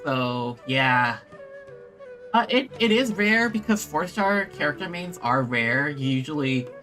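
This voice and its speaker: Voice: mid-range voice, lively intonation, measured pace, a bit bright. Streamer just chatting over a game, rambly n hesitant, faint music in the back. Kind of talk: casual gameplay livestream chat.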